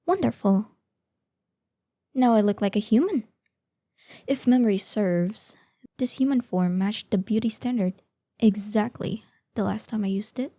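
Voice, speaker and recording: An ancient, vain dragoness in human shape, acted by a woman, speaks with a very dark, mellow tone and a breathy softness. Her delivery is slow and imperious, with formal, theatrical phrasing fit for a fantasy audio drama. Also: on the high side, dry recording. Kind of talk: scripted roleplay audio drama.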